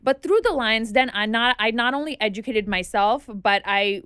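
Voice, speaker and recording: A woman in her thirties, a podcast guest and activist, speaking earnestly in a casual interview with frequent fillers, recorded on a studio boom mic in a small podcast studio. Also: expressive, moderate pace, a bit bright, clear, resonant tone, no room sound. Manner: earnest, thoughtful, lists points with frequent fillers. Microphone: studio microphone on boom arm.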